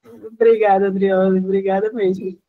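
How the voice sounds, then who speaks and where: A woman's voice: medium pitch, level tone, moderate pace, phone-quality sound. A woman speaking as a class guest, chatty and full of little fillers. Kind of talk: casual spoken class discussion.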